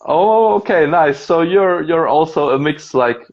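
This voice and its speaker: A man's voice: a little high, animated, a little slow, dull audio. A man with a German accent, hosting a casual livestream interview, chatty and laughing often with many fillers. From half German, half Japanese. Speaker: label host. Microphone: phone livestream.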